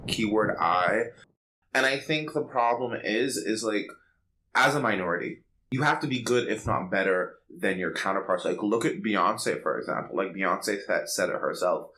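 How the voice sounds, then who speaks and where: A man's voice: on the low side, normal speed, bright, forceful tone, poor audio. A man co-hosting a podcast, chatting casually and giving blunt, sassy opinions in slangy speech. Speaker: podcast co-host. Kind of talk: casual opinionated pop culture chat.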